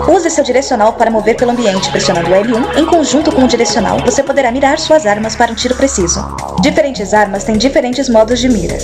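A woman's voice: on the high side, lightly acted. A woman voicing a composed female field agent in a dubbed game, giving instructions in a matter-of-fact way. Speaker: field agent partner and training narrator. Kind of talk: scripted game dub, instructional briefing.

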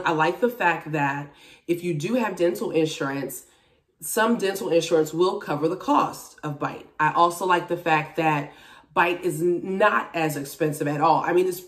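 A woman of about forty chatting casually to camera in a candid, expressive, emphatic way, recorded at home from a few feet away. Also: fairly low pitch. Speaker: vlogger, TV and radio personality. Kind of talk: casual chat to camera about a personal journey. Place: small indoor room at home. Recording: roomy echo, distant microphone.

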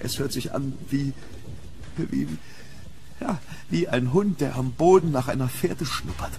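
A man playing a fearful, hunted gentleman in a German horror radio play, speaking with dramatic urgency. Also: mid-range voice, a little slow, a little hiss or hum, natural voice. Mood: grim. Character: hunted, fearful English gentleman haunted by a demon.

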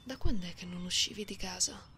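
A woman playing a gentle, playful cat-girl in an ASMR roleplay, speaking softly and intimately, often slipping into whispers. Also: lowish voice. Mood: playful, teasing. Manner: slow, soothing, intimate, teasing, with meows and purry hums. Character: gentle, playful genetically modified cat-girl comforting someone lonely. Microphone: close binaural microphone. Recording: close binaural recording with faint background noise.

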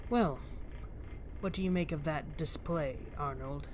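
An actor playing an authoritative police commissioner, diplomatic but stern, with formal and deliberate speech in an audio drama. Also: male voice, on the high side, a little hiss or hum, close to an everyday voice.

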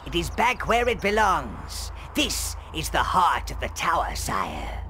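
A man playing an old, fawning, evil goblin advisor in a video game, with a gravelly voice and theatrical, obsequious delivery. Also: on the high side, huge pitch swings, unhurried, music in the background. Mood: pleased, servile. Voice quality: gravelly, creaky. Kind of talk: scripted video game character acting. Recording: game ambience noise behind voice.

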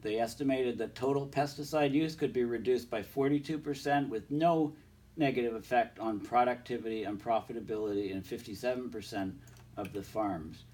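A man's voice: mid-range voice, normal speed, a little mellow. Guy with a cold going thru papers on a big screen, lots of ums, mic kinda far off. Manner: rambling, many ums and restarts, reads passages aloud. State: has a cold, voice a bit off. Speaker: climate science video host. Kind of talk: casual explanation reading from papers on screen. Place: indoors in front of a large projected screen.

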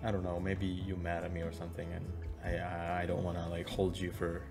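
A man's voice: sits low, monotone, fairly quick, gravelly. A young man chatting kindly with a stranger on video, reassuring her and repeating words. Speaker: video chat streamer. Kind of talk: friendly video chat with a stranger. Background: guitar music.

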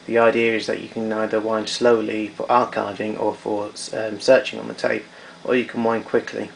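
A man's voice: on the low side, little pitch movement, normal speed, creaky, a little hiss or hum, rough recording. A man giving an unscripted technical demonstration in a matter-of-fact, somewhat hesitant way. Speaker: demonstrator of vintage tape recorder.

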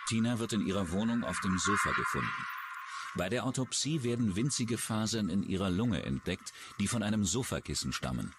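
A man's voice: lowish voice, lively intonation, fairly quick, very noisy. A man narrating a true-crime documentary in a scripted style. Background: background music.

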